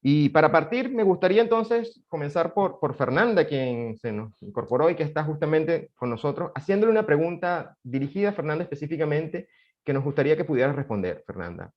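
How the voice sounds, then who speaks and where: Formal male moderator, lively pitch. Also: dull audio. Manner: formal, measured delivery. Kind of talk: reading a prepared introduction.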